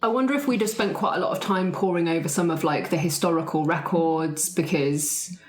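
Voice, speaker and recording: A woman's voice: on the low side, fairly quick. A woman chatting casually as a player in a roleplay game, thinking aloud. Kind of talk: casual improvised planning chat. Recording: roomy echo.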